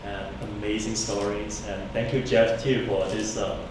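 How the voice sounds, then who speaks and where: A man from Hong Kong whose first language is Cantonese introduces himself at a reading, speaking politely and haltingly with many fillers. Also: lowish voice, level tone. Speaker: engineer and songwriter reading poems. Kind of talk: event introduction. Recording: distant, echoey, noisy room recording.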